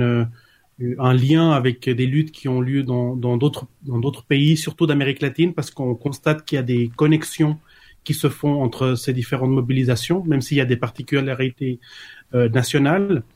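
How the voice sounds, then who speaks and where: A man's voice: medium pitch, fairly even intonation, normal speed, darkish tone. A man answering as an interview guest, hesitant and often restarting his sentences. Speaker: guest, collective member.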